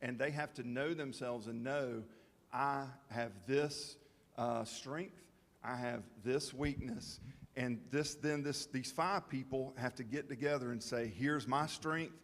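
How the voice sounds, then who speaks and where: A man presenting at a town information session, explaining to the public in a halting, plain-spoken way. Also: medium pitch, fairly bright tone. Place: town meeting hall. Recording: long reverb of a meeting hall.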